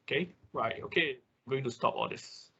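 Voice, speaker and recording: A man who speaks English as a second language, giving a live technical tutorial step by step in a halting manner, often checking with short tag words. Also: mid-range voice, gravelly, pushed and bright, breathy edge. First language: not English. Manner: halting, often repeats and checks with okay or right. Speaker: workshop instructor. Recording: dull, lo-fi online class audio.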